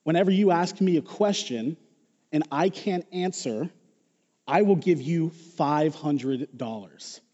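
A man's voice: fairly high pitch, unhurried, warm tone, big-room sound, muffled recording. A man telling a joke as a chatty genius on a plane. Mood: friendly.

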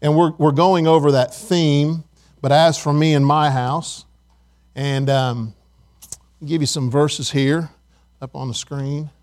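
A man's voice: fairly high pitch, fairly even intonation, takes it slowly, darkish tone. A man in his fifties preaching a sermon, reading scripture and praying, in an earnest, conversational way with frequent repeated words. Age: 50s. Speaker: pastor.

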